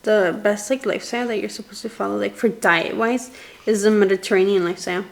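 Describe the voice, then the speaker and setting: A young woman chatting as a podcast guest, relaxed and full of "like" fillers. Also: medium pitch, quick pace, creaky. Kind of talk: casual chat.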